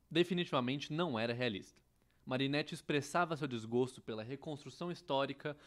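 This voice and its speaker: A man with a Brazilian accent reading a scripted educational narration, with a wry, ironic manner. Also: mid-range voice. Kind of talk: scripted explainer narration, dubbed.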